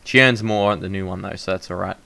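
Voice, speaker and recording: Loud, bright-voiced young man. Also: sits low, fast talker, gravelly. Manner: loud, projecting voice; frequent fillers and restarts, laughs at himself. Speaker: podcast host. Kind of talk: casual chat.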